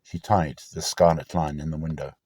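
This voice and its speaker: A man's voice: rumbling low, fairly even intonation, moderate pace, a little mellow, dry recording, clean studio sound. A man reading scripture aloud as a narrator, in a solemn, declamatory storytelling manner.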